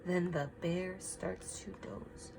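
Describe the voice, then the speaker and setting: A woman reading a picture book aloud to children, expressive and emphatic, pausing to ask simple guiding questions. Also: on the low side, creaky, background noise.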